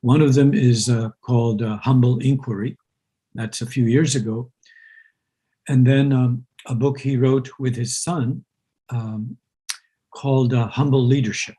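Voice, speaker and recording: A man whose first language is Urdu, hosting a reflective conversation in a slow, searching way with many pauses. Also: medium pitch, steady tone, warm tone, husky, rough recording. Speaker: host.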